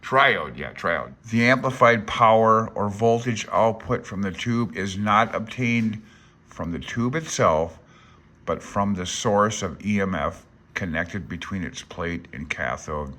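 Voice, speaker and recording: A middle-aged man speaks in a fairly low voice as a hobbyist walking through a technical text. He moves between reading aloud and informal commentary. His manner is tentative and self-deprecating, as if working out each idea while he talks. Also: animated, measured pace, bright, forceful tone. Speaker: amateur radio hobbyist explaining a handbook. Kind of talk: informal read-along tutorial, alternating reading aloud and commentary.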